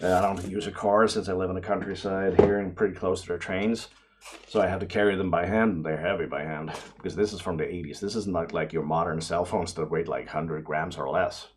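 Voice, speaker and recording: A middle-aged man talking casually and excitedly as he hosts an unboxing video, rambling along with frequent exclamations. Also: deep voice, brisk, slight background noise. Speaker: video host.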